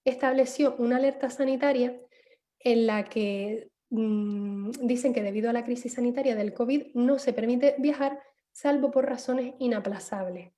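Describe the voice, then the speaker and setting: A woman's voice: a little high, moderate pace, dark tone. A woman giving a panel talk on a video call, hesitant, with many fillers and restarts. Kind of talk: online seminar talk.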